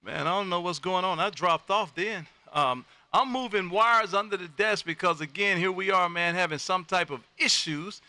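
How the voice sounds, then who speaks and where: A man hosting ham radio contacts, loud, folksy and exuberant in his delivery. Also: sits high, normal speed, hardly any pauses, slight background noise. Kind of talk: animated on-air radio contact chat. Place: home radio room.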